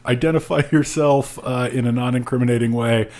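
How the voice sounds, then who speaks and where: Male podcast co-host. Average pitch, wry banter, tongue-in-cheek pomp. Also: steady tone, a little fast, a little mellow. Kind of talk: casual podcast banter and plugs.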